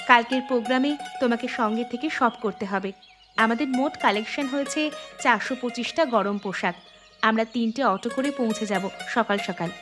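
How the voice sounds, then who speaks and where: A woman's voice: a little high, natural voice. A woman voicing a kind, earnest young village woman in a cartoon, speaking politely. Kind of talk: Bengali cartoon voice acting.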